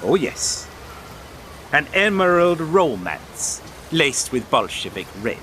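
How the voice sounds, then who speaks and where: Bright-voiced, sardonic Irishman. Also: on the high side, big pitch swings, takes it slowly, slight background noise, heavily acted. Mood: pointed, sardonic. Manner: sardonic, pointed. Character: wry, proud, bitter Irish writer and wartime stretcher bearer. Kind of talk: audio drama acting.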